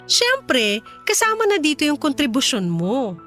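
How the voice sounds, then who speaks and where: A woman's voice: high-pitched, wildly swooping pitch, measured pace, a bit bright, faint music behind, heavily acted. A woman acting a kind, reassuring company boss in a Filipino radio drama, earnest and composed, with a Filipino accent. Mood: earnest, appreciative.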